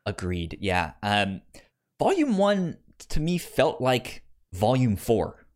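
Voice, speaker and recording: A man hosting a podcast in casual chat, talking haltingly with repeated false starts. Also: mid-range voice, big pitch swings, a little mellow. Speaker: podcast host.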